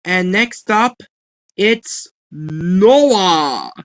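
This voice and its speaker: A woman giving a casual, halting introduction and sign-off as an amateur voice actor and host of a voice reel. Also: lowish voice, animated.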